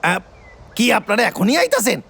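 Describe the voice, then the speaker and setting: High, creaky cartoon schemer. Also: male voice, wildly swooping pitch, normal speed, fairly bright tone, heavily acted. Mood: scheming. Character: money-hungry male schemer, hungry, sly and excitable. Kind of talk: animated cartoon dubbing. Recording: music behind the voice.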